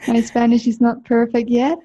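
A woman interpreting a guest's words in short consecutive chunks, with an even, plain delivery. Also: a little high, unhurried, husky. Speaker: interpreter into English. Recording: muffled, dull sound.

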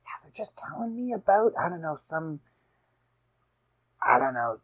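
A woman hosting her own podcast in an easy, rambling way, throwing in self-deprecating asides as she goes. Her voice sits a little low and has a slight rasp to it. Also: sing-song, unhurried. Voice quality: slightly raspy. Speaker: podcast host. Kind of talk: casual solo podcast chat.

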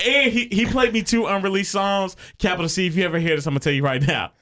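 Animated high-voiced young man telling stories. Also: fast. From Chicago area, United States. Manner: animated storyteller, laughs often, many fillers. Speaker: podcast co-host. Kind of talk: casual podcast chat.

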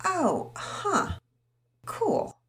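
An excitable young boy who can't hide his eagerness, as a woman puts him on. His voice jumps high and wide. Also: moderate pace. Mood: eager.

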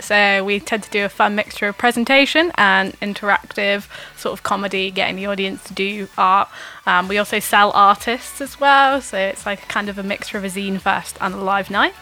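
A woman comedian speaks with a bright voice at middle pitch. She chats casually and playfully as a podcast guest, with a wry edge and faint music behind. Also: fairly even intonation, normal speed, low-quality recording.

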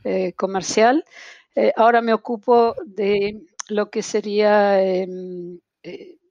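A woman's voice: mid-range voice, fairly even intonation, slow, darkish tone, no room sound. Woman, Argentine accent, interview guest, hesitant, dull lo-fi sound, dry. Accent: Argentine Spanish. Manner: hesitant, many fillers and restarts. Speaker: guest, translation-tool specialist. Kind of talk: interview over video call.

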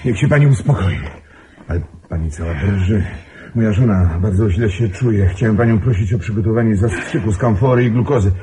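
An actor in a Polish radio drama playing a courteous but controlling master of the house, paternal and insistent. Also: male voice, fairly low pitch, a little fast, mellow, natural voice. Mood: urgent. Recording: hissy old radio drama recording.

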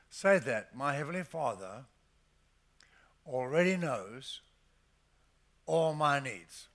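An older man preaching a sermon to his church, stressing scripture phrases slowly and emphatically. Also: mid-range voice, a bit bright. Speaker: pastor.

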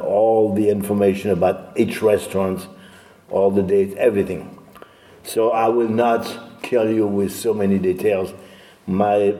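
An older man with a French accent giving a talk as guest speaker, halting with many fillers and restarts. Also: deep voice, dark tone, roomy sound, in a large hall. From France. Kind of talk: lecture talk.

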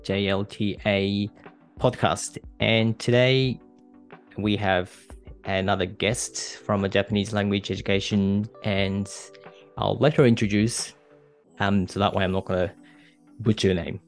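Low-voiced, hesitant man hosting a podcast. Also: little pitch movement, unhurried, soft background music. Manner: hesitant, many ums and guesses. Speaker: podcast host. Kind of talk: interview hosting.

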